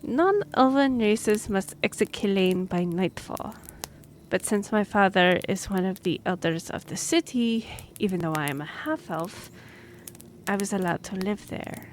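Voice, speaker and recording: Young half-elf noblewoman, played by a woman, fantasy audio roleplay: earnest, courtly, slightly awkward, unhurried delivery over faint background noise. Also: mid-range voice, lightly acted. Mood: measured, explanatory. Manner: formal, hesitant, measured. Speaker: voice actor in a roleplay audio drama. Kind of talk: fantasy roleplay audio acting, addressing the listener.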